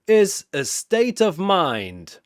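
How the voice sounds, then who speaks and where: A man's voice: high voice, huge pitch swings, very slow, clean tone, dry recording, clean studio sound, pitched above the performer's own voice, heavily acted. A man voicing a boastful, honorable muscle-bound superhero in an action story, proclaiming pompously. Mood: proud.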